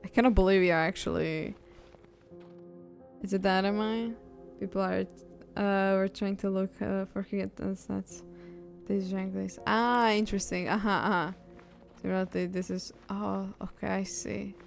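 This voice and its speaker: A woman's voice: mid-range voice, steady tone, unhurried, gravelly. A woman livestreaming a game, chatting casually and reacting as she goes. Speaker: game streamer. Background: game music.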